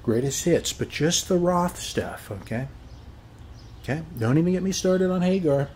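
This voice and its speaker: A man's voice: fairly high pitch, lively intonation, normal speed, a little mellow, a little hiss or hum. An American man performing an ASMR roleplay as a chatty, boastful suburban neighbor, rambling in a folksy way and often checking in with the listener.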